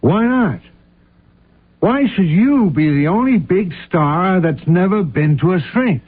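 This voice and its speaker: An actor playing a matter-of-fact confidant in a radio drama, speaking in a reasoning, persuasive manner. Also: male voice, high-pitched, lively intonation, takes it slowly, husky, full character voice. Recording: muffled narrow-band old radio sound.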